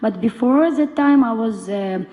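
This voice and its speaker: A young woman with a Ukrainian accent gives a semi-prepared talk as a conference panelist, halting as she searches for words. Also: fairly high pitch, lively intonation, warm tone. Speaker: conference panelist, NGO board member. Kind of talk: semi-prepared public speech. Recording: reverberant conference hall.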